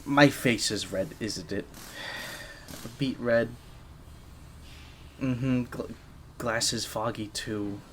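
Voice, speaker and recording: Man playing a shy, flustered gadget maker, halting delivery. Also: mid-range voice, a bit bright, slight background noise. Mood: embarrassed, flustered. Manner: nervous, stammering, halting. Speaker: audio roleplay performer. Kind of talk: scripted ASMR roleplay, one side of a dialogue.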